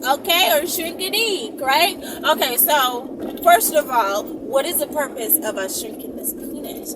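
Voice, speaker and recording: High-pitched, animated woman vlogger, distant noisy recording. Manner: animated, emphatic, repeatedly checking in with listeners. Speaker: video channel host. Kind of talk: casual chatty monologue to viewers. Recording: distant mic, loud ambient noise.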